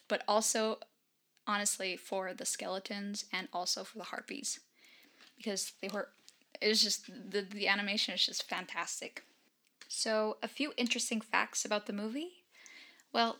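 Bright-voiced, enthusiastic woman chatting about film. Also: medium pitch. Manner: enthusiastic, emphatic, chatty and informal. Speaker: film reviewer. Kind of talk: casual solo movie commentary.

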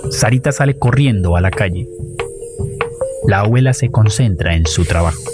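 A man's voice: lowish voice, expressive, normal speed, loud music behind the voice. A man with a Colombian accent reading scripted narration for a radio play.

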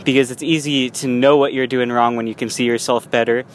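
A man's voice: medium pitch, steady tone, fairly quick, poor audio. A young man talking casually while driving, rambling with frequent restarts and small laughs. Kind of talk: casual vlog monologue. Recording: faint road noise.

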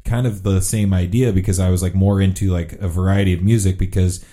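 Podcast co-host, man in his thirties, deep and dark-toned, loose and filler-heavy. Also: steady tone, fairly quick. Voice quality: very low voice. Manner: laid-back, rambling with many "like" fillers. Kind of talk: casual chat.

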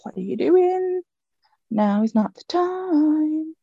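A woman's voice: high voice, expressive, slow pace, creaky, dark tone, no echo, highs cut off. A woman co-hosting a podcast in casual chat, rambling and chatty, laughing often. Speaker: podcast co-host.

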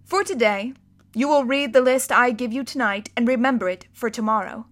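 A woman voicing a strict, stern older female tutor who gives commands in a clipped, forceful way. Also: a little high, moderate pace, lightly acted.

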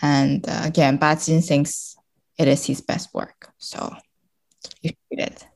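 A woman's voice: low-pitched, flat delivery, unhurried, creaky, a little mellow. A woman speaking casually as a podcast guest, searching for her words with frequent "like" and "you know". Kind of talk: casual interview conversation.

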